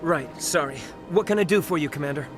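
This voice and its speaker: Man playing a nervous young private, fairly high-voiced. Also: a little fast, natural voice. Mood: startled, eager. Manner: flustered, hesitant. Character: nervous, awkward, naive young male army private. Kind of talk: video game voice acting. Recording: game music behind the voice.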